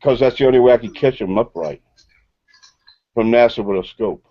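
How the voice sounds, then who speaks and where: A man's voice: mid-range voice, moderate pace, no echo, muffled recording. A man in a heated online voice chat, blustering, cursing and repeating himself. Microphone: computer speakers, no headset.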